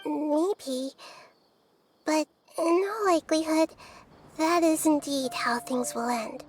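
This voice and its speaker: A woman voicing a gentle, wise, childlike little goddess in a video game, calm, measured and careful in her wording. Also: high voice, a little hiss or hum. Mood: gently regretful. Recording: music clearly behind the voice.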